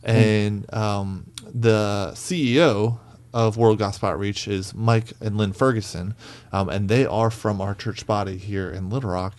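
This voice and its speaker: A man's voice: on the low side, fairly even intonation, measured pace, darkish tone. A man hosting a church podcast in a friendly, chatty way. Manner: friendly, chatty, with fillers and restarts. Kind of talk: casual interview chat.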